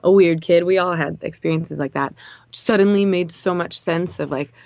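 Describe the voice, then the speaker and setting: Excitable singer-songwriter guest, woman from Indiana, low voice, dry studio sound. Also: normal speed, vocal fry, no echo. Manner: bubbly, gushing, laughs often. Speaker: musical guest. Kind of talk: excited radio interview chat.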